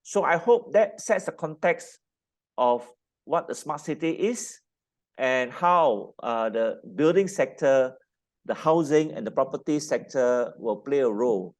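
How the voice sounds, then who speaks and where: A man's voice: medium pitch, lively intonation. Formal male panel moderator. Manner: formal, with filler pauses.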